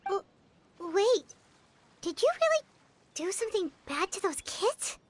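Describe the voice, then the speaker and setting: A woman voicing a tiny, childlike fairy companion in a video game, squeaky and excitable, blurting things out in a flustered, naive way. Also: unhurried, full character voice. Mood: shocked, questioning. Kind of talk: anime-style video game voice acting. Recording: music behind the voice.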